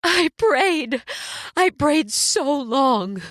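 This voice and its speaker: A woman playing a despairing, fearful woman long stranded in a nightmare, halting and mournful, in a horror radio drama. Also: high voice, animated, a bit bright, no room sound, clean studio sound, exaggerated voice. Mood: anguished. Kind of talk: old-time horror radio drama acting.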